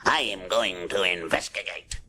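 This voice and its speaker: A plucky cartoon sailor, mutters salty, mangled grammar in a low voice. He takes his time, scrappy and defiant, over a faintly noisy old recording. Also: unhurried, sharp tone, full character voice. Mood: wry, curious. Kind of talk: children's storybook reading.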